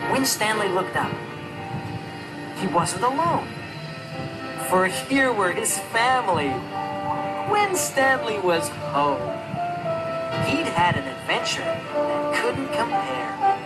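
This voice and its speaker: A storyteller narrating a rhyming children's story in an expressive, measured storybook delivery. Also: medium pitch, rough recording. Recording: loud music under the voice. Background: music.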